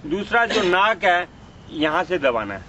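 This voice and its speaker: A man with a notably high voice instructs a practical first aid class. He goes through the technique step by step and checks that it is understood. The outdoor recording is dull and muffled. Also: sing-song, measured pace, bright, forceful tone, slight background noise. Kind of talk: hands-on demonstration teaching.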